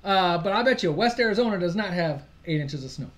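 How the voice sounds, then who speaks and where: Male livestream co-host from Michigan; relaxed, talkative, quick to laugh. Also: high voice. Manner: chatty, laughs easily, loses his place. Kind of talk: casual live-stream chat.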